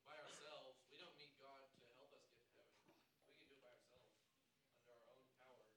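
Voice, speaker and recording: A man's voice: medium pitch, brisk, pushed and bright. A man in a study group giving a casual spoken answer, picked up faintly from away from the microphone. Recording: distant from mic, faint, noisy.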